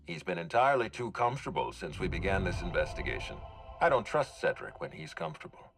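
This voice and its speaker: Stern video game official, low voice, measured, formal, articulate, courteous. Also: male voice, sing-song, over music. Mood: measured, serious.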